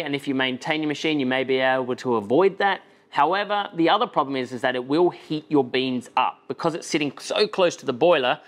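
An Australian man in his thirties talks straight to camera with a slightly high-pitched voice. He is animated and frank and gestures with his hands. He is seated at a table in a small indoor studio set. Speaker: coffee reviewer. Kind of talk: casual to-camera product advice.

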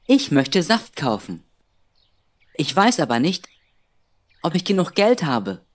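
A woman's voice: sits low, very animated, takes it slowly, exaggerated voice. A woman voicing an earnest, unsure little boy in a children's educational cartoon, speaking in simple, hesitant phrases. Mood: friendly, unsure.

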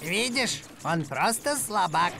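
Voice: medium pitch, lively intonation, slow pace, creaky, heavy background noise. A whiny bumbling boy. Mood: sulky. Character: boy, a villain's bumbling son. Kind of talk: Russian cartoon dubbing.

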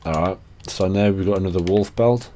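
A young man talks through his playthrough in a loose, sweary, self-mocking way. His voice sits low and dark. It has a slight rasp from a lingering cough, and he sounds worn out. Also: a little fast. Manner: sweary, chatty, self-deprecating. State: tired and hoarse from a cough. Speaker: gaming channel host. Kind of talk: casual gameplay commentary.

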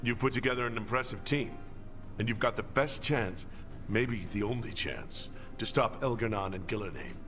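An actor playing a seasoned war leader in a video game, earnest and deliberate, like an encouraging mentor. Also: male voice, medium pitch, a bit bright, close to an everyday voice. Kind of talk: video game cutscene acting. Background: game music.

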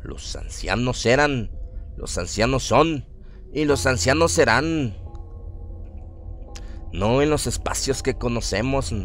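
A man giving a solemn, theatrical narration of a horror radio drama, with deliberate phrasing. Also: mid-range voice. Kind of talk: dramatic scripted horror narration, audiobook-like reading. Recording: music under the voice. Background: atmospheric music bed.

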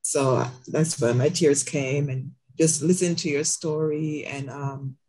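Slow-talking woman, rambling heartfelt comment. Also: deep voice, fairly even intonation, a little hiss or hum, low-quality recording. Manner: slow, rambling, with many ums and soft laughs. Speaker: audience member asking a question. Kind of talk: casual heartfelt comment on a video call. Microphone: video call mic.

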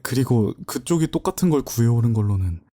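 A man's voice: medium pitch, lively intonation, a little fast, dark tone, lightly acted. A man playing a polite, stiff young male student who speaks formally and apologetically. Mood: earnest. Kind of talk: amateur audio drama acting.

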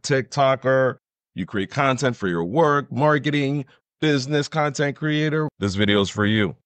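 A man in his forties hosting an enthusiastic, emphatic software tutorial. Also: lowish voice, no echo. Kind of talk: enthusiastic scripted-sounding screen tutorial.

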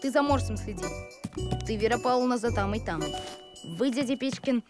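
A woman acts out a calm, practical young boy in a cartoon. The voice is fairly high, earnest and caring. Music plays behind it. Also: fairly quick, natural voice. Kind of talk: animated cartoon voice acting.

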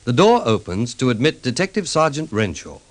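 A man's voice: medium pitch, big pitch swings, brisk. A male announcer reading a formal scene-setting introduction for a radio drama. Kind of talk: scripted scene-setting narration.

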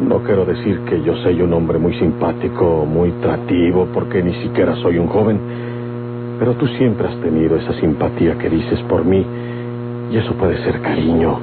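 A man's voice: low voice, steady tone, moderate pace, close to an everyday voice. An actor playing a domineering middle-aged outlaw father with a rural Mexican accent in an old radio drama. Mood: pleading, amorous. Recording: muffled old radio recording with music.

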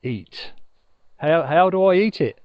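Storybook Moon played by a man: indignant yet confused and poorly, hesitant, wide swings of pitch. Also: lively intonation, takes it slowly, no echo, dull audio. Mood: puzzled. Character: bewildered, ailing, indignant Moon fallen to Earth.

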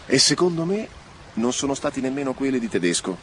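An actor playing a wry, cautious, grumbling police marshal, sidekick to a commissioner in a radio drama. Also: male voice, medium pitch, very animated, fairly quick, a bit bright. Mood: cautious, uneasy. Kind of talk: expressive radio drama acting. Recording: noisy radio drama, street ambience.

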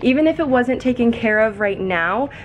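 A young woman in her twenties giving an excited house tour to a handheld camera, indoors and out in a backyard. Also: a little high, normal speed, slight background noise. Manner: excited, gushing, talks to camera. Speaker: vlogger. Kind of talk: casual house tour vlog. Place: outdoors in a backyard and inside an empty house. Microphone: handheld camera at arm's length.

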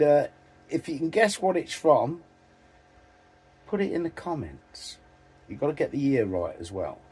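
An older man with a husky voice, chatting casually and haltingly to camera as a vlogger. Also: medium pitch, darkish tone. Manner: halting, rambling. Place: small dim vehicle interior.

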